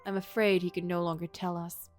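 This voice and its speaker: A woman's voice: on the low side, flat delivery, a little fast, darkish tone, faint music behind, natural voice. A woman voicing a weary male hospital worker in a game story, consoling and resigned in a subdued, philosophical way. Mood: weary resignation.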